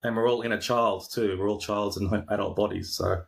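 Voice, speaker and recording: Australian male podcaster, low-ish voice, easygoing and rambling, on a video call. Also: steady tone, fairly quick, vocal fry. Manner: laid-back, rambling with frequent fillers and restarts. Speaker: podcaster and coach. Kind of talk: casual interview chat.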